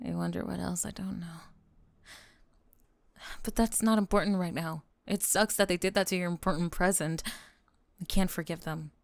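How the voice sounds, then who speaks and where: Woman acting as possessive monster-girl roommate, soft creaky voice, coaxing, doting, close dry ASMR roleplay. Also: on the low side, no room sound. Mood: rattled, jealous, then caring, quietly menacing. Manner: coaxing, doting, breathy pauses with sighs and soft giggles. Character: yandere lamia college roommate, doting, possessive, secretly jealous. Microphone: close microphone in a dry space.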